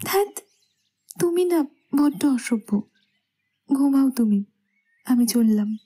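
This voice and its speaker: A young woman playing a shy, anxious bride who protests gently and hesitantly in a romantic audio story. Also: high-pitched, animated, slow, husky, heavily acted. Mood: bashful. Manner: coy, hesitant, softly pouting.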